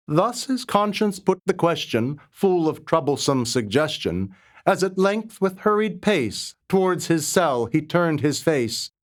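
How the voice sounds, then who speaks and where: A man reciting narrative poetry in a measured, dramatic style, with solemn, reverent phrasing and deliberate pauses. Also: on the high side. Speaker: poetry reader.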